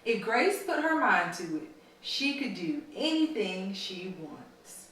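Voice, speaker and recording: Lady reading as loving storybook granny, folksy n reassuring, bright voice, big room echo. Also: medium pitch, big pitch swings, takes it slowly, a little hiss or hum. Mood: proud. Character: loving, firm Trinidadian grandmother full of encouragement. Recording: very echoey room, far from mic.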